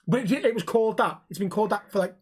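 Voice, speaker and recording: A man's voice: high voice, fast, a little mellow, breathy edge. A man co-hosting a casual podcast, swearing constantly, laughing and acting out little quotes as he talks. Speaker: podcast co-host.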